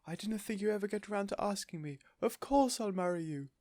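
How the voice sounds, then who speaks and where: Storybook lady neighbour, male reader: high, shrill, gushing, excitable and adoring. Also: a little fast, no echo, clean studio sound. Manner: shrill, exclamatory, effusive. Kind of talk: storybook character voice.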